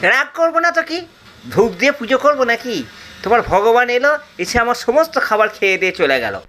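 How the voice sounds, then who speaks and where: A man's voice: very high voice, fairly quick, fairly bright tone, slight background noise, pitched above the performer's own voice. A man voicing a hungry, hot-tempered young male disciple who complains in a whiny, indignant way. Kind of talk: storytelling voice acting.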